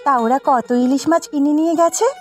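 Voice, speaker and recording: A woman voicing a brisk, expressive village housewife in a folk-tale cartoon. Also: high voice, soft background music, lightly acted. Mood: questioning. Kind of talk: Bengali cartoon dialogue dubbing.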